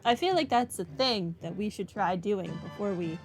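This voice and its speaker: A woman's voice: mid-range voice, normal speed. A woman giving casual, wry commentary on a video game playthrough, thinking aloud as she goes. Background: game music.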